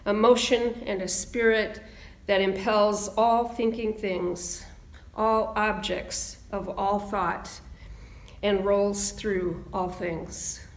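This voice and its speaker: Creaky-voiced older woman minister, slow and solemn. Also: mid-range voice, steady tone. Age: 60s. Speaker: minister leading worship. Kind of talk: solemn reading of opening words. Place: church sanctuary. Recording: roomy echo, faint background noise.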